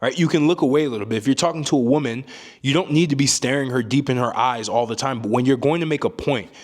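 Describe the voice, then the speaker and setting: A calm young man with a deep voice giving casual advice to camera, often ending points with a rhetorical "right?". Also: fast talker. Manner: calm, emphatic, frequent "right?" tags. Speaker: self-improvement YouTuber.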